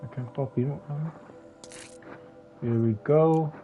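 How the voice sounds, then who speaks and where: A slightly hoarse man commentating his game live, talking haltingly with many restarts and fillers. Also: on the high side. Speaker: game streamer. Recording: tinny phone-like sound with faint music.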